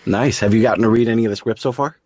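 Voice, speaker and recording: A man's voice: on the low side, very fast, a little mellow. A man hosting a casual interview, informal in his talk and rephrasing his questions as he goes. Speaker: podcast host, interviewer.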